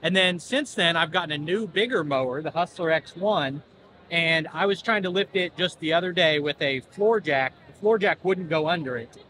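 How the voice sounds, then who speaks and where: A man's voice: fairly high pitch, moderate pace, a crowd in the background. A man in his thirties from Southeast Kansas, hosting a casual walkaround chat about equipment in a large indoor expo hall. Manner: easygoing, plainspoken, enthusiastic. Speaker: farm equipment channel host. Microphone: handheld camera close up.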